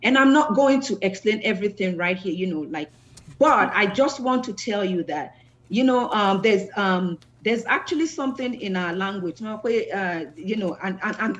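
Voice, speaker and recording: Halting woman, muffled. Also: mid-range voice. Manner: halting, many fillers and restarts. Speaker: panel guest. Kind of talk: discussion panel answer.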